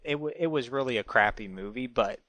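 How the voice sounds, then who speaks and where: A man's voice: mid-range voice, fairly quick, bright, forceful tone, dry recording. Podcast co-host guy, mid voice, really dry sound, casual chat.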